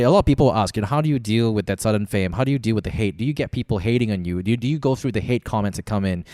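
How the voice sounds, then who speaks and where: A man's voice: medium pitch, darkish tone, no echo. A man with a Malaysian accent hosting a casual podcast interview, rambling and often repeating words, close to the microphone. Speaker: podcast host.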